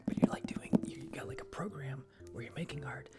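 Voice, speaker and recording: A woman hosting an ASMR live stream in a breathy whisper, chatting in a playful, whimsical, mock-dramatic way. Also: rumbling low, a little fast. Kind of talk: ASMR chat with live audience. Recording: loud music under the voice. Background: music.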